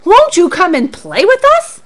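A woman's voice: very high voice, wildly swooping pitch, normal speed, pitched above the performer's own voice, heavily acted. A woman voicing a group of rabbits with a sweet, coaxing voice.

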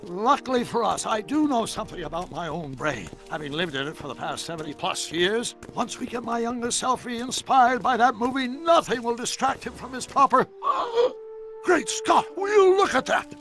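An actor playing an eccentric, excitable elderly scientist in a video game, with a gravelly voice and a dramatic, wordy way of exclaiming. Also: male voice, extremely high pitch, moderate pace, faint music behind, heavily acted, poor audio. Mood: amazed. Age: older.

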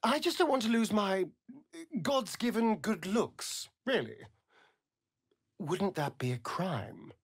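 Game role: vain, sardonic vampire rogue. British, arch, slow drawl, highly varied intonation. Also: male voice, expressive, slightly rough, dry recording, studio quality, full character voice. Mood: vain, teasing. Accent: British English. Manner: theatrical, arch, drawling with dramatic emphasis. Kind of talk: video game character voice acting.

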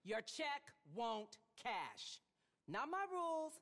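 A woman's voice: a little high, sing-song, slow pace, a bit bright, heavily acted. A woman acting a stern, blunt, exasperated middle-aged government boss in a video game. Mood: brisk, firm. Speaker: government official.

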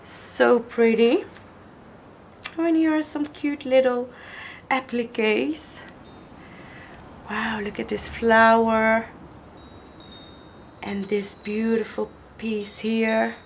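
Young woman, slow and hoarse, gravelly, gushing over gifts; camera mic at a table, faint room noise. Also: on the high side, steady tone. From Netherlands. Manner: gushing, slow, admiring, repeats praise. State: hoarse from illness. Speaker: crafter showing gifts. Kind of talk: casual unboxing vlog. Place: indoors at a wooden table.

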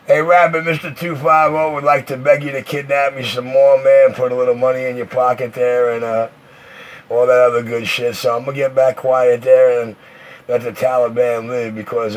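A man talking slangy CB radio chatter in a boastful, swaggering patter. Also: mid-range voice. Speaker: CB radio operator. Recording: noisy CB radio with static.

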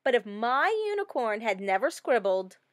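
Woman, children's read-aloud, slightly high voice, animated, dramatic emphasis, close dry sound. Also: moderate pace, no echo. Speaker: storybook reader.

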